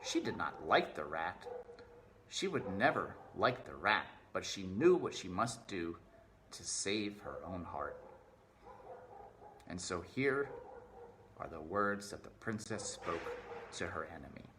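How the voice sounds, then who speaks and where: A man reading a children's novel aloud with deliberate, dramatic expression, addressing the listener directly. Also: deep voice, sing-song, a bit bright, slight background noise. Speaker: storybook reader.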